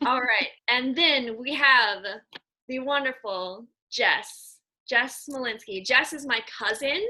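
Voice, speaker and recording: A woman's voice: a little high, slow pace, bright, forceful tone, rough recording. An American woman hosting a podcast, introducing guests in an effusive, affectionate, informal and chatty way. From Nebraska, US. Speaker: podcast host. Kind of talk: casual conversational guest introductions.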